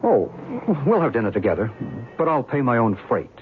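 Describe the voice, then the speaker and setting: An actor playing a knowing, enigmatic American narrator and believer in an old-time radio drama, measured and assured. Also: male voice, mid-range voice, big pitch swings, close to an everyday voice. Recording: tinny, noisy old radio recording.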